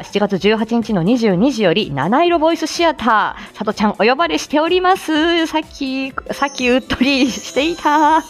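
Lively woman hosting a casual livestream. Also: on the high side. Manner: bubbly, giggly, switching between cute greetings and polite announcer phrases. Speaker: host of a live morning audio show. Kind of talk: casual live chat with announcements.

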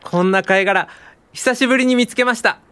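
An actress playing a polite, excited young female college student in a radio drama. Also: on the high side, brisk, clear, resonant tone, lightly acted. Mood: polite excitement. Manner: polite, eager.

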